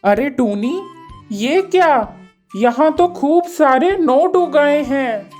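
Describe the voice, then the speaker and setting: A woman plays an earnest pigeon father in a children's cartoon. The voice is slightly high and slow, with a worried, lamenting edge. Faint music sits behind it. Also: expressive, darkish tone. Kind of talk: children's cartoon story acting.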